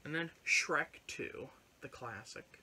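Young guy on the couch showing off his finds, bright edgy voice, bit high, faint hiss behind. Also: lively intonation, slow. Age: young adult. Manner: offhand, swears casually. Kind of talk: casual chat. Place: living room with record shelves and couch. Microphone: fixed camera at couch distance.

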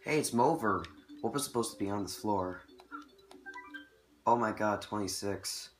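A man's voice: fairly low pitch, unhurried. A man with a cold, losing his voice, chatting casually as he plays and comments on a video game. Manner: chatty, self-correcting, reacting to the game. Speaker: let's play host. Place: indoor room with TV, filmed on camera. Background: game music.

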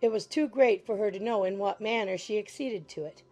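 A woman reads a classic novel aloud in a mellow, darker voice, with even and composed pacing. Gentle music plays faintly beneath the narration. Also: mid-range voice, a little fast. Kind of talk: scripted audiobook narration.

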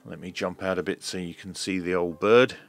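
A man giving a hands-on tech demonstration in a measured, hesitant way, as if thinking aloud. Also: low-pitched, steady tone, creaky. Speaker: host of a radio repair video.

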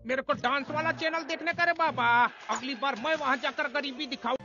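A man playing a cheeky, scheming cartoon cockroach with a squeaky, creaky voice and street-slang manner. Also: brisk, highs cut off, music in the background. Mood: whiny, cheeky.

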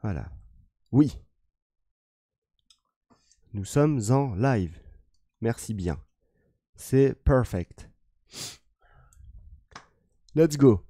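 A young man opening a gaming live stream in a relaxed, hesitant way with many fillers. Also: mid-range voice, very slow, long pauses, no room sound, studio quality. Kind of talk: casual gaming stream chat.

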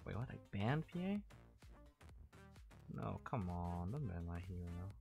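A young man from Brazil, chatting casually while streaming a game, thanking viewers and thinking out loud. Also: low-pitched, very animated, measured pace, gravelly. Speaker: streamer and caster. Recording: faint music behind, weak mic.

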